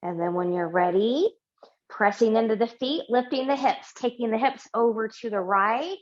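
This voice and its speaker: A middle-aged woman leading a yin yoga class, giving slow, gentle cues and affirmations while lying on a mat in a small home studio. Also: a little high, muffled recording. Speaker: yoga teacher. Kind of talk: guided yoga class instruction.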